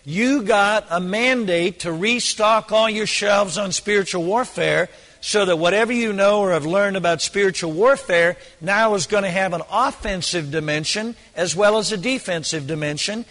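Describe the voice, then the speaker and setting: A hoarse man preaching a sermon, emphatic and repeating phrases for stress. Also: sits high, moderate pace, a bit bright, in a large hall.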